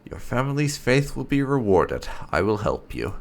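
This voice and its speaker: A male gaming host reads out dialogue in character. His medium-pitched voice moves up and down a great deal and often drops into creaky fry. The delivery is deliberate and theatrical. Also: very animated. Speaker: gaming channel host, player. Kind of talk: reading game dialogue choices aloud in character.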